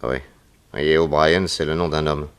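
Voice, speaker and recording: An actor playing a shrewd, cheerful detective who thinks aloud in a 1960s French radio serial. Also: male voice, a bass voice, steady tone, moderate pace, clear, resonant tone. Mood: musing. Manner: musing aloud, thinking things through. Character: shrewd, confident male detective, eager and cheerful.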